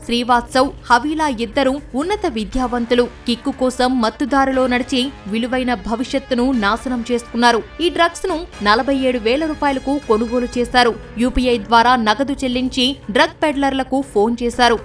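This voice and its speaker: A woman's voice: sits high, recorded from a distance. A woman reads scripted TV news narration as a reporter.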